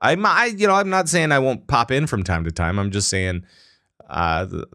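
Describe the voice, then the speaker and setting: A man hosts a gaming chat show in a middle-pitched voice. He rambles, piles on fillers and restarts, and keeps things easygoing. The sound is dry and close, with no room around it. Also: normal speed, pushed and bright, no room sound. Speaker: podcast host. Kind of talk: casual gaming podcast chat.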